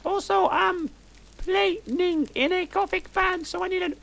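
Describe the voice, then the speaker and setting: A man voicing a melodramatic gothic teenage girl in a high falsetto, with a gushing, breathless delivery. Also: steady tone, a little slow, creaky, fairly bright tone, exaggerated voice. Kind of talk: comic dramatic reading.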